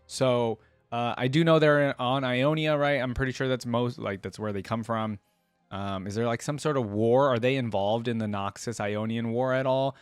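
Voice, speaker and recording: A man hosts a casual reaction video, thinking aloud in a loose, rambling way. His voice is mid-pitched and sounds dry and close, with some music behind it. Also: fairly even intonation, moderate pace, clean tone, no room sound. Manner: rambling, thinks aloud, repeats "like" and "you know".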